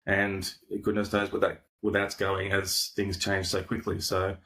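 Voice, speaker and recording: A man's voice: low voice, monotone, a little slow, pushed and bright. An Australian man, a podcaster and coach, talking in a casual, rambling way with lots of fillers and restarts over a video call. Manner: laid-back, rambling with frequent fillers and restarts. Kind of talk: casual interview chat.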